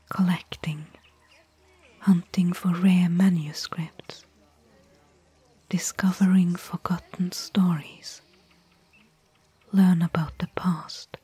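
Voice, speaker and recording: A woman playing a gentle, bookish desert explorer and caring companion in a soft, whispered ASMR roleplay. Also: lowish voice, dry recording, full character voice. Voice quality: breathy, whispered. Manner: slow, gentle, pausing between short phrases.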